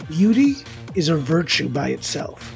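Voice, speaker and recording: A man acting a resentful, self-deprecating loner confiding in an audio drama. His voice is gravelly with vocal fry, and he rambles defensively in a conversational way over clear background music. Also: fairly high pitch, big pitch swings, measured pace, a little mellow, full character voice. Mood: smug, resentful. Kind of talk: dramatic first-person monologue, conversational.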